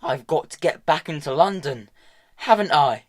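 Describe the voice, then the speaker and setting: A man's voice: on the high side, animated, normal speed, voice pushed up. A man reading an audiobook, voicing a bitter, blunt young woman with a curt, angry manner.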